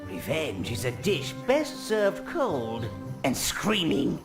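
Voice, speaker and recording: An actor playing an elderly, servile minion adviser in a video game, dryly sinister and theatrical. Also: male voice, on the high side, wildly swooping pitch, slow, music in the background, exaggerated voice. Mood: ominous relish. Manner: obsequious, theatrical, dry understatement. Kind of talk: scripted video game character acting.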